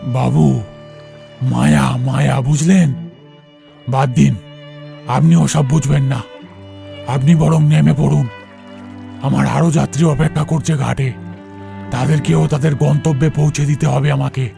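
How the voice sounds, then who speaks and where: Man playing a gravelly, mysterious rustic boatman ghost. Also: mid-range voice, fairly even intonation, unhurried, pauses often, dark tone. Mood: evasive, eerie. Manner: rustic, deferential speech, repeating phrases. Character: mysterious old-fashioned rustic boatman ghost, fatalistic and evasive. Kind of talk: Bengali animated ghost story acting. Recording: music under the voice.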